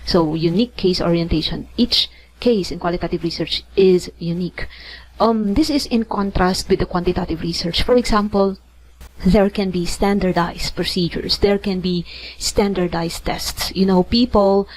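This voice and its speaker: Filipino lady teacher talking through a lesson, lowish voice, lots of restarts and "right?". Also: normal speed, slight background noise, poor audio. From Philippines. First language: not English. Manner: conversational, thinks aloud with many restarts and tag questions. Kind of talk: online lecture.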